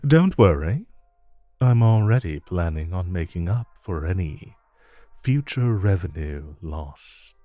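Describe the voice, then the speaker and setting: A man playing a suave, flirtatious supervillain in a romantic audio roleplay, with a smooth, teasing drawl and deliberate pauses. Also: sits low, very animated, warm tone, no echo, faint music behind, full character voice. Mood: flirtatious, relaxed. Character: suave, flirtatious male supervillain courting his tailor.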